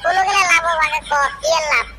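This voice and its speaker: A man voicing an aggrieved, sarcastic village fisherman in a comic cartoon, with a rural Bengali dialect and a pitch-raised squeaky voice. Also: quick pace, over a noisy crowd. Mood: wary, complaining. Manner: complaining, scolding, sarcastic. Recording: loud background noise.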